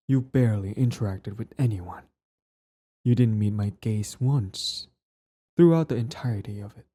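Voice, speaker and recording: A man acting a cold, cruel young prince in an ASMR roleplay, condescending, menacing and deliberate, slipping toward soft whispers. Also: lowish voice, slightly rough. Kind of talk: ASMR roleplay drama, scripted monologue.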